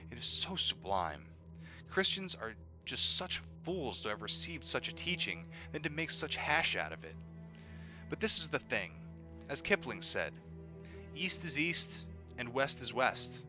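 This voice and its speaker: Guy doing an expressive book reading, kinda lo-fi sound, music clearly playing behind him. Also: medium pitch. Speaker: reader of an audiobook on a stream. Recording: dull lo-fi audio with music behind.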